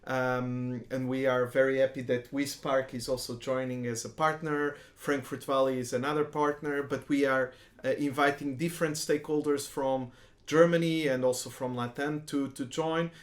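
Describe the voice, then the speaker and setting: Halting male interviewer, echoey sound. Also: medium pitch, flat delivery. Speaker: interviewer, host. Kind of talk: informal interview.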